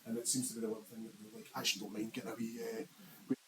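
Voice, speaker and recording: A Scottish man talks quickly, his words spilling out in repeated, stammered starts. He hosts in a loose, rambling way and uses dialect words. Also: on the low side, flat delivery, distant mic, a crowd in the background, rough recording. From Scotland. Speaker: podcast host. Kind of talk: casual interview chat. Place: inside a busy bakery.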